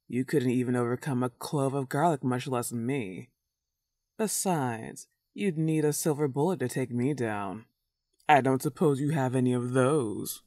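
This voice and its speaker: A woman's voice: rumbling low, dark tone, no room sound, clean studio sound. A woman playing a smug, clingy, lovesick werewolf in a comedic roleplay audio drama, taunting and cooing. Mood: defiant, taunting. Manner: taunting, drawn-out teasing and cooing.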